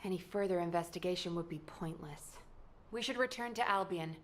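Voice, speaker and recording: An actress playing a composed female squad leader who gives firm, measured commands. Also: on the low side, lightly acted. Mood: commanding.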